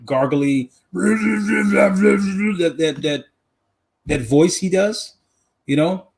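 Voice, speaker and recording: A man's voice: high-pitched, extremely slow, vocal fry. A man co-hosting a podcast in casual, slangy conversation, often repeating phrases for emphasis. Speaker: podcast co-host. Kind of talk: casual movie chat.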